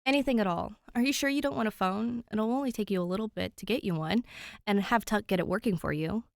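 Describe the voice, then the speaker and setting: A woman's voice: medium pitch, fast, vocal fry, no echo, close to an everyday voice. An anxious teenage brother in an audio story, acted by a woman reader. He is protective and fretful, pleading and arguing, recorded close and dry. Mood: worried.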